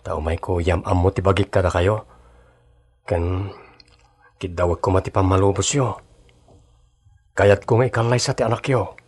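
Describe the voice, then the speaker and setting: An actor with a Filipino Ilocano accent playing a polite, determined suitor of twenty-three in a radio drama, with a husky voice. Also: male voice, deep voice, steady tone, moderate pace, pauses often. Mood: respectful, pleading. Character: earnest 23-year-old suitor, polite and determined.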